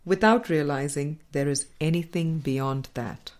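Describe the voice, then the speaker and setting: A woman reading a spiritual dialogue book aloud as an audiobook, in a measured, deliberate manner with pauses. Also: low voice, darkish tone. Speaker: audiobook reader.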